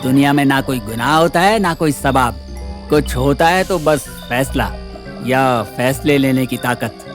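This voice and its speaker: A man's voice: mid-range voice, unhurried, soft background music, natural voice. A man playing a cocky, sadistic knife-wielding villain in an audio drama, taunting and sneering with mock politeness. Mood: scornful. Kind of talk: Hindi audio drama acting.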